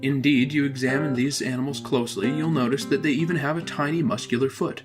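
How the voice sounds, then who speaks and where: A man reading scripted educational narration in a measured, formal, explanatory manner. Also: medium pitch, steady tone, music in the background.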